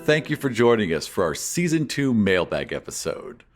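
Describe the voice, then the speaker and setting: A man's voice: fairly low pitch, sing-song, normal speed, soft background music. A man reading scripted announcements and questions as a podcast host.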